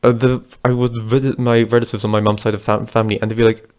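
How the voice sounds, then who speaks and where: A man's voice: fairly low pitch, flat delivery, quick pace, darkish tone, clean tone. A man, telling personal stories to camera in a rambling, chatty way. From Britain. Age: 20s. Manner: rambling, chatty, loose and conversational. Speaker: vlogger. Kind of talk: casual personal storytelling to camera.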